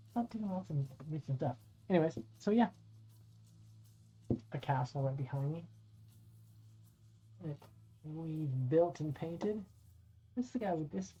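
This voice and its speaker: A man's voice: a little high, mellow, husky. A middle-aged man chatting casually on a live stream while painting miniatures, rambling and swearing now and then as he talks to viewers. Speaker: streamer and dungeon master. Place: home room by a window. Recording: dull lo-fi audio with faint music.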